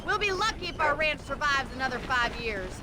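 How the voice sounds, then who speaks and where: A young American ranch woman of the Old West, high in pitch and dryly sarcastic. She is articulate and practical, in game dialogue under loud wagon and outdoor noise. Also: animated, fairly quick. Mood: scornful. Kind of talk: video game voice acting, dramatic dialogue.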